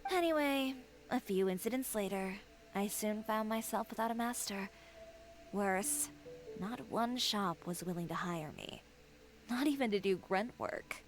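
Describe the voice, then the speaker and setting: A woman's voice: mid-range voice, unhurried, soft background music, natural voice. An actress playing an assertive, poised young female fashion designer in a video game, telling things in a self-assured way. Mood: indignant, determined.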